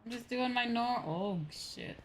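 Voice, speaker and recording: A woman's voice: fairly high pitch, a little slow, slight background noise. A woman streaming a game, chatting casually and playfully and muttering to herself.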